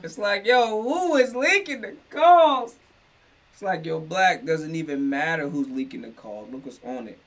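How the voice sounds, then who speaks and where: A man's voice: on the high side, lively intonation, moderate pace, a bit bright, far from the mic. A man giving casual, unscripted reaction commentary, slangy and profane, repeating himself for emphasis. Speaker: reaction video host.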